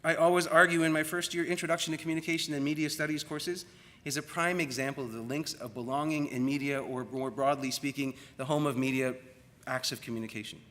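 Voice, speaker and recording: A Canadian man in middle age gives an academic talk in a reverberant hall. His voice is fairly high and bright. He is reflective and rhetorical, leaning on questions and repeated phrases. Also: steady tone, quick pace. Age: middle-aged. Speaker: university lecturer in media studies. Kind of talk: public academic lecture. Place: large room at a university colloquium. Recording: echoey hall with long reverb.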